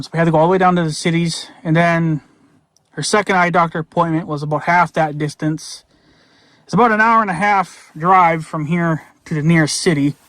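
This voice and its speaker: A man's voice: a little high, a bit bright, no echo. An American man talking casually in a rambling, blunt vlog style, with some mild swearing. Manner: rambling, blunt, mildly profane. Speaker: YouTube reviewer and vlogger.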